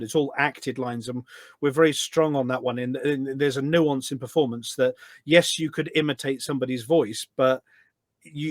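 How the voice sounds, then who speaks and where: A man's voice: mid-range voice, dry recording, studio quality. A man chatting casually as an interview guest, telling anecdotes in an enthusiastic, talkative way. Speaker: podcast guest, voice pack producer.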